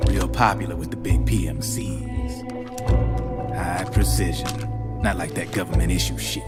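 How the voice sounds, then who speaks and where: A smooth, wry arms dealer from a video game, his voice low and easygoing. He talks like a streetwise salesman, pushy and full of slang, with loud music underneath. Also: unhurried. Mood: smooth sales pitch. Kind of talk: video game cutscene acting.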